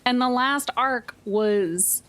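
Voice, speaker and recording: A woman's voice: fairly high pitch, slow, fairly bright tone. A woman playing a tabletop role-playing game, talking casually with the group.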